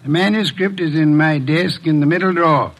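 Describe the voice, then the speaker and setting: Imperious radio detective: highish, creaky voice, dry, pompous, exact diction. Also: male voice, a little fast. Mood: brisk, authoritative. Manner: pompous, precise, formal diction. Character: shrewd, imperious middle-aged detective, dry and condescending. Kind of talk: classic American radio mystery acting. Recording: old radio drama recording.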